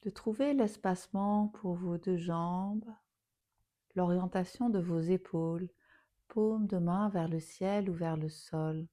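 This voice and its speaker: A woman teaching yoga nidra, guiding a relaxation meditation in a soothing, unhurried manner, with phrase endings softly trailing towards a whisper. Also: fairly low pitch.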